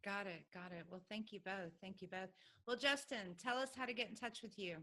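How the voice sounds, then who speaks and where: A woman's voice: mid-range voice, brisk, sharp tone. A woman hosting a conversational interview show. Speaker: podcast host.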